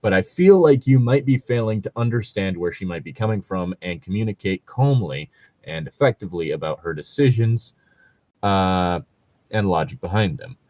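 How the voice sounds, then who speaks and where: A man giving casual, conversational commentary in a halting way, with lots of filler words and some swearing. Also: sits low, animated, warm tone, dry recording. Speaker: podcast host reacting to read-aloud Reddit posts.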